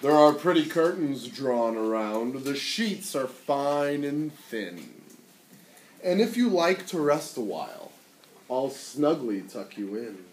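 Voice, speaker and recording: A man voicing a cunning male spider from a storybook, coaxing his prey in slow, drawn-out flattery. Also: mid-range voice. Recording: roomy, distant mic, faint noise.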